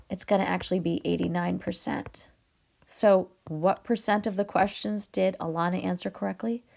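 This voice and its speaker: A woman teacher explaining a math problem step by step, her voice raspy from a cold. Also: fairly low pitch, a little mellow, a little hiss or hum. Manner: upbeat, patient explaining with fillers. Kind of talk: video math lesson.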